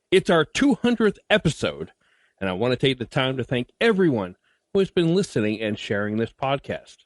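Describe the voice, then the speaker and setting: Lively male podcast host, dry sound. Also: a little high, no echo. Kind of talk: scripted podcast introduction.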